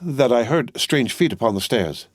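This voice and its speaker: A man voicing a gentle, anxious young wife who speaks softly and pleadingly. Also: mid-range voice, expressive, moderate pace, dry recording, clean studio sound, pitched above the performer's own voice, close to an everyday voice. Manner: soft, pleading.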